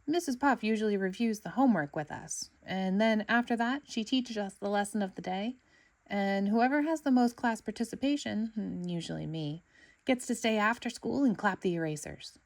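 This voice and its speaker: A woman voicing an earnest, excitable young sea sponge student in a storybook, with dramatic, reverent delivery. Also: mid-range voice, moderate pace, no echo, pitched above the performer's own voice, natural voice. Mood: eager.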